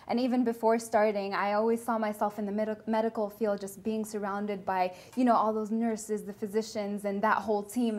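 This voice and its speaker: A young woman from California, a dietetics student, upbeat and talking straight to camera as she presents a cooking segment in a studio kitchen. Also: mid-range voice. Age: young adult.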